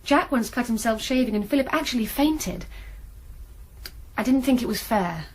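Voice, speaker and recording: A young female student in a radio drama. She has a very high voice and an earnest, anxious way of talking. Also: animated, moderate pace, slightly rough. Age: young adult.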